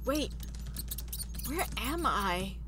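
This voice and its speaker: A woman playing an injured, curious young spaceship crew member in an audio drama, with a halting, emotive delivery. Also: fairly high pitch, very animated, noisy background, heavily acted. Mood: dazed, curious.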